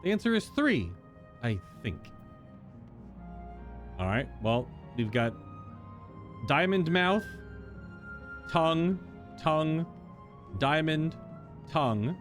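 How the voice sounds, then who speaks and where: A man's voice: a little high, sing-song, slow, pauses often. A man streaming a game playthrough, muttering to himself and reacting live to what happens. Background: game music.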